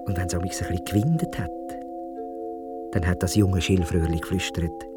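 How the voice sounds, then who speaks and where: A man's voice: low voice, steady tone, normal speed, music in the background. A man with a Swiss German accent telling a story for children in a dramatic way, addressing his listeners directly.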